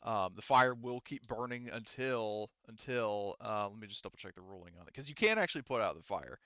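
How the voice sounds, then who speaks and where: A man's voice: fairly low pitch, a little fast, sharp tone, no room sound, lo-fi sound. A man talking casually and with some swearing as game master, explaining rules to players at a tabletop game. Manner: casual, profane.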